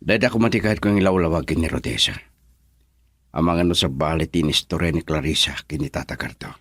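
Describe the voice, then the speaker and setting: An actor with a Filipino accent playing an anxious husband in a radio drama. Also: male voice, rumbling low, quick pace, a bit bright, husky, dry recording, clean studio sound, exaggerated voice. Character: anxious husband caught in family trouble.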